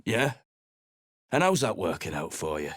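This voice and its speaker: A surly, battle-worn mercenary from a fantasy video game,. His voice is low and husky, and his pitch moves a lot. He talks bluntly and brushes people off. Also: big pitch swings, moderate pace, fairly bright tone, no echo, exaggerated voice. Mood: gruff. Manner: gruff, blunt, dismissive.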